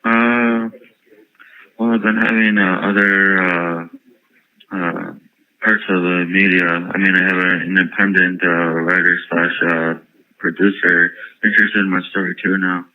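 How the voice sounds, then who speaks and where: A man speaking haltingly and hesitantly as an inmate on a jail phone call, in a muffled recording. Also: sits low, little pitch movement. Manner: halting, hesitant, filler-heavy. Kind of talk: casual phone interview.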